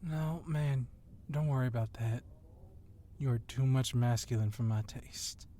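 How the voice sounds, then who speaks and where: A man's voice: mid-range voice, a little slow, mellow, close to an everyday voice. A man playing a tough, confident police wrestling champion who teases his rival, in an ASMR roleplay audio drama. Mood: teasing. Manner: teasing, self-assured.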